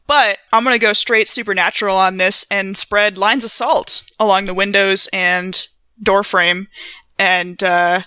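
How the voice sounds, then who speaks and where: A woman with a bright, smooth voice chats through her moves in a tabletop game. She improvises casually, thinking aloud as she goes. The recording is close and dry. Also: medium pitch, steady tone, unhurried, no room sound. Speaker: player in actual-play podcast.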